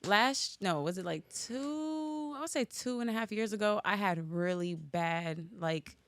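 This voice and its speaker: A woman's voice: mid-range voice, measured pace, no room sound. A woman hosting a casual podcast chat, talking in a loose, rambling way with lots of "like". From Norwalk, Connecticut, USA. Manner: chatty and rambling, heavy use of "like". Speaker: podcast host.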